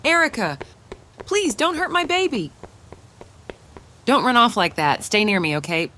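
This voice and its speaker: A woman's voice: fairly high pitch, very animated, a little slow, pushed and bright, slight background noise, heavily acted. Woman playing a wary, desperate survivor mother. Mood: frightened, pleading. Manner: pleading, guarded. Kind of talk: audio comic dramatisation.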